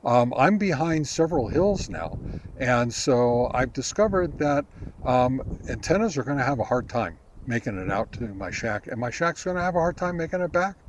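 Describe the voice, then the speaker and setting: A man narrating a casual product test as a review host, talking step by step in a halting way. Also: medium pitch. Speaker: host of a ham radio gear review channel.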